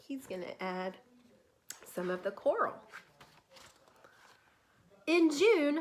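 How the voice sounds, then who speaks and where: A woman reading a children's storybook aloud in an expressive, careful way, pausing to explain things to young listeners. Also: a little high. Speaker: teacher reading a picture book to children.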